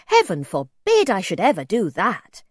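A woman voicing a gentle, pleading, very old gentleman in a storybook, well-spoken and old-fashioned in his courtesy. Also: mid-range voice, sing-song, unhurried, no room sound, studio quality. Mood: shocked protest. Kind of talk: storybook character voice.

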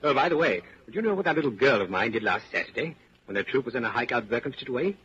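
A man's voice: mid-range voice, sing-song, fast talker, fairly bright tone. A man acting in a 1940s radio drama as a hearty, dim, boastful middle-aged family man, chummy and blustering. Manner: chummy, blustering, hesitant hums. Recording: muffled, hissy 1940s radio recording.

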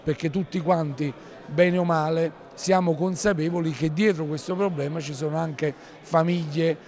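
Guy in his 50s, slightly high voice, formal interview answers, careful and wordy. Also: steady tone, normal speed, darkish tone. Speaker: mayor being interviewed. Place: large indoor council hall. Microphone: handheld interview microphone.

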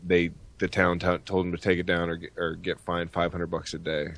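A man with a low voice talks as a podcast host. He rants in a casual, profane way and comes across as blunt and outspoken. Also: monotone, a little fast, a bit bright. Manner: blunt, heavy swearing. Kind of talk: ranting, profane podcast chat.

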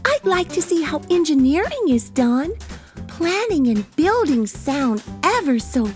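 An excitable little storybook princess, as a woman reader puts her on, bubbly and wide-eyed. The voice is pitched very high and swoops with eagerness. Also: unhurried, soft background music. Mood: excited.

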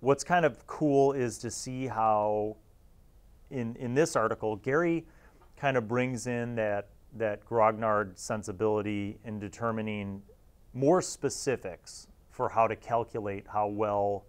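Middle-aged man, fairly low voice, casual reviewer, reflective, rambling commentary. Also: slow pace, clear, resonant tone. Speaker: video host and reviewer.

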